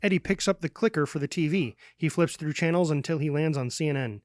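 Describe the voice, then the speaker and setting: A man's voice: on the high side, fast, a little mellow, dry recording, clean studio sound. A man narrating a script's stage directions in a dramatic style. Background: prominent music.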